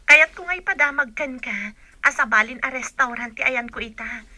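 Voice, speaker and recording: A friendly young working woman in a radio drama. Her voice is high and bright, eager yet respectful, recorded very dry. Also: moderate pace, dry recording, full character voice. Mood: chatty. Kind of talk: Ilocano radio drama acting.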